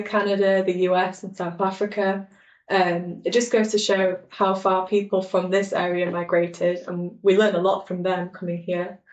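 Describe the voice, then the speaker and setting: A woman's voice: mid-range voice, darkish tone, reverberant, muffled recording. A woman from Yorkshire with a Yorkshire accent, an enthusiastic librarian speaking on a podcast. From Yorkshire, England. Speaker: local studies librarian. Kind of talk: podcast chat.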